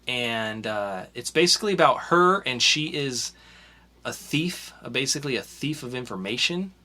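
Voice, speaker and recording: Bright-voiced young man rambling casually. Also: medium pitch, lively intonation, normal speed. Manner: rambling, with many fillers and restarts. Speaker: podcast co-host reviewing comics. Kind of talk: casual chat.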